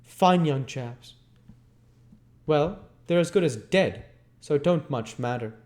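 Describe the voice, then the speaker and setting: A man voicing a gruff, scornful London robber in a storybook reading, blunt, loud and impatient. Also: a little high, expressive, slow pace, voice pushed up.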